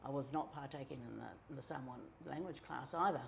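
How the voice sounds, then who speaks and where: A middle-aged woman from New Zealand with a New Zealand accent, telling her own story in a relaxed, chatty way, with many ums and asides. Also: very deep voice, fast talker, creaky, a little hiss or hum. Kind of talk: casual personal storytelling.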